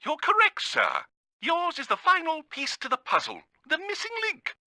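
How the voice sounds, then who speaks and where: Shrill pompous British bird villain. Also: male voice, wildly swooping pitch, normal speed, bright, forceful tone, no room sound, studio quality, exaggerated voice. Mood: grandiose. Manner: pompous, theatrical, florid. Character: pompous, fussy villainous male bird genius.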